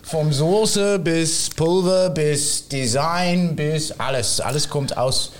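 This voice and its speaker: A man's voice: a little high, slow. A man from England with a British accent, speaking German as a second language with many fillers in a casual chat about his shop. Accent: British English speaking German as a second language. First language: English. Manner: broken German, many fillers, laughs often. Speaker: shop owner guest.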